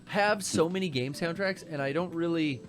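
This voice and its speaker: A middle-aged American man with a fairly high voice co-hosts a casual livestream. He talks informally and swears freely, with faint music playing behind him. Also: normal speed. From United States. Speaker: livestream co-host. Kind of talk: casual chat. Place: small cluttered room indoors.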